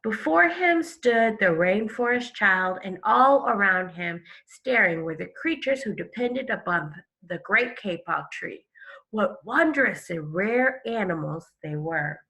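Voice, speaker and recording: A woman teacher with a bright voice reads aloud to young children at an unhurried pace. She is expressive and pauses to explain and put questions to her listeners. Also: medium pitch, poor audio. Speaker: elementary school teacher.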